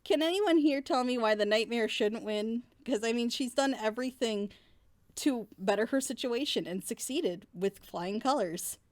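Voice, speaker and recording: A woman's voice: a little high, normal speed, a little mellow, dry recording. A woman giving a casual, opinionated episode critique in a blunt, exasperated and sarcastic way. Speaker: reviewer in a group reaction show.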